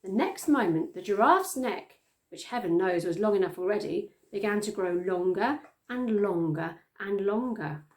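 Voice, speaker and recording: A woman's voice: mid-range voice, moderate pace. Woman reading a children's story aloud. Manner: expressive storytelling. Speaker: storytime reader. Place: small plain room indoors, on a sofa.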